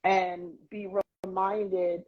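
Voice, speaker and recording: A woman's voice: lowish voice, little pitch movement, extremely slow. A woman from Queens, New York, reflecting as a podcast guest in casual chat, with many "um" and "you know" fillers. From New York City, Queens. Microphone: phone on a live social video stream. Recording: lo-fi livestream audio.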